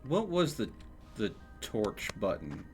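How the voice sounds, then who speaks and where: Guy streaming a video game, casual and playful, thinking out loud, music playing loud behind him. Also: fairly low pitch, big pitch swings, takes it slowly. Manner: thinks aloud, playful exclamations. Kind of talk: casual live gameplay commentary.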